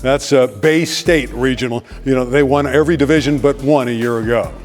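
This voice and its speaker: A man with a mid-pitched voice gives brisk preview commentary for a basketball tournament. A long reverb trails his words, with faint music underneath. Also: fairly even intonation.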